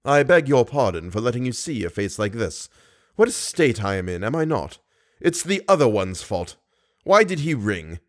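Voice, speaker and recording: A man's voice: medium pitch, sing-song, normal speed, no room sound, studio quality, lightly acted. A man voicing an unhinged male villain in an audiobook, self-pitying and menacing in a theatrical, erratic manner. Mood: self-pitying menace.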